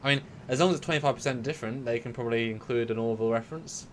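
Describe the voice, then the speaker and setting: A man's voice: mid-range voice, racing, a little hiss or hum. A man co-hosting a casual live discussion over a video call, chatty and joking. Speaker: co-host. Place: home room with shelves.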